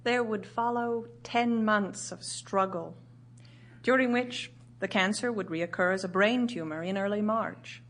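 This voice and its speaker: An actress playing a grieving widowed writer, narrating in a measured, solemn, literary way for audio theatre. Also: female voice, mid-range voice, a bit bright. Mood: grave. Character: grieving widowed woman writer recounting her husband's last year.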